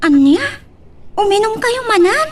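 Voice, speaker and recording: A woman's voice: very high-pitched, big pitch swings, measured pace, exaggerated voice. A woman playing an earnest young daughter who pleads for her family in an audio drama, a little off the microphone. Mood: concerned. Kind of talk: Ilocano radio-style audio drama. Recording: distant from the microphone.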